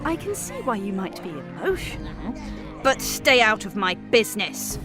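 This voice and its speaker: A woman's voice: mid-range voice, wildly swooping pitch, moderate pace. An actress playing a stern, exasperated elder-sister noblewoman in a video game, speaking in a curt, scornful way. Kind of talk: video game character acting. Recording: game music behind.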